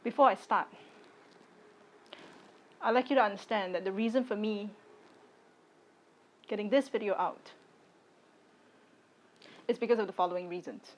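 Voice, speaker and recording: A young woman in her late twenties speaks with a voice of ordinary pitch for a woman. She talks in short, measured phrases that stay firm and deliberate as she makes her personal statement to the camera. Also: low-quality recording. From Singapore. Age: late 20s. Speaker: content creator.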